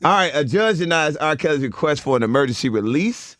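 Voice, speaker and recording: A man's voice: fairly high pitch, lively intonation, fairly quick, a bit bright, no room sound, clean studio sound. A man co-hosting a casual podcast, reading out news items and hedging his takes. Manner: reads headlines, then hedges his opinions. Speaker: podcast co-host. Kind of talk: casual group chat.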